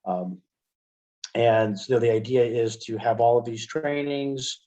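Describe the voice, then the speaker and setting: A middle-aged American man giving a casual, step-by-step software demonstration as a webinar trainer, speaking into a headset. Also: medium pitch, slow, lo-fi sound. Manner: explanatory, step-by-step. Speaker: assistive technology trainer.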